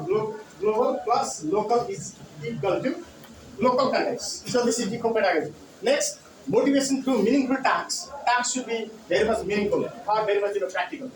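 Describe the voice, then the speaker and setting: A man with a Nepali accent giving a conference talk on English teaching, with many "you know" fillers and repeated key words. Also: high-pitched. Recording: roomy hall from far off, noisy.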